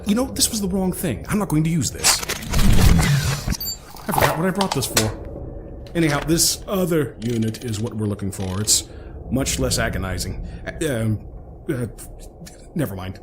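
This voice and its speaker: A man's voice: medium pitch, animated, moderate pace, pauses often, background noise, close to an everyday voice. A man playing an eccentric, well-travelled inventor and rescuer in an audio drama, talkative and fussy, with a hesitant, rambling, politely wordy manner. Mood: flustered, soothing.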